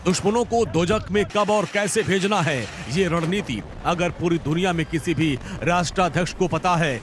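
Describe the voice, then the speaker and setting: A man's voice: high-pitched, normal speed, noisy background. A man narrating a news feature in a dramatic, emphatic scripted style. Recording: voice-over with loud music bed.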